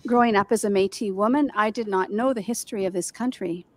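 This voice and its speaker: A woman in her sixties reads steadily from prepared notes, her voice quiet and soft-spoken. She speaks at a middle pitch into a handheld mic, hosting a gathering over a video call from a room at home. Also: fast. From Canada. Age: 60s. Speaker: event host. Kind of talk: reading a land acknowledgment.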